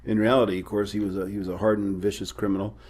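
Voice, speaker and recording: A middle-aged man, a guest professor, explaining history in a measured, storytelling way during casual trivia chat. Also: lowish voice, flat delivery, darkish tone.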